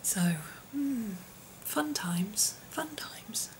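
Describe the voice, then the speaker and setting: A woman, her voice hoarse and husky from an infection, chatting casually in a vlog with lots of ums and small laughs. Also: fairly high pitch, animated, drawn-out words, slight background noise. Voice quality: husky, often whispery. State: hoarse, voice partly lost from infection. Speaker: vlogger.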